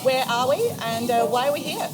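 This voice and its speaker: A woman's voice: sits high, expressive, brisk. Woman hosting a podcast over music. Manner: friendly, laughs along with guest. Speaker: podcast host. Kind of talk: casual interview chat. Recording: music playing behind.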